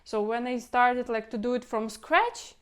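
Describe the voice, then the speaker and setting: Clear-voiced woman with a Ukrainian accent. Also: a little high, unhurried. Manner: rambling, repeats words, non-native phrasing. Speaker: home cooking channel host. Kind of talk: casual instructional vlog talk.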